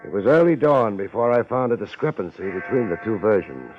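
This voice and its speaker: A male actor plays a cocky, wisecracking hard-boiled detective in a vintage radio play. His voice sits low and moves in lively swings, with sardonic slang. The old recording is dull and muffled. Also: brisk, over music, close to an everyday voice. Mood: assured, decisive. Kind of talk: 1950s radio detective drama acting.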